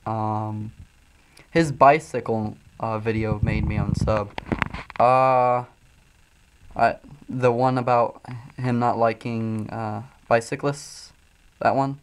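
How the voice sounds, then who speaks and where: A man from Illinois with a Midwestern accent, loosely and profanely talking through chat comments on a livestream while high. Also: steady tone, slow pace, pauses often, background noise. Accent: Midwestern American. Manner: loose, profane, frequent ums and uhs. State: high, coughing from smoking. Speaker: streamer reading chat.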